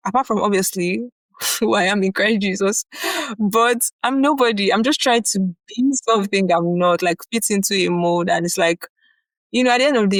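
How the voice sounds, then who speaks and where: A young Nigerian woman with a Nigerian accent, co-hosting a podcast in a chatty, animated way, often laughing and filling with "like" and "you know". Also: medium pitch, no room sound. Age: young adult. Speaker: podcast co-host. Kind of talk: casual chat.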